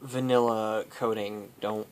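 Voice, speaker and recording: A man telling an improvised comic story in a halting, rambling way, as host among friends. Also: on the low side, steady tone. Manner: rambling, halting, often breaking into laughter. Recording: noisy home recording with clicks.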